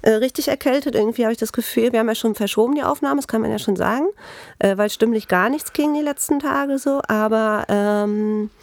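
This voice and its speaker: A woman's voice: on the high side, fairly quick, no echo. A woman teacher co-hosting a casual podcast, talking with a cold and many hesitant fillers. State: has a cold.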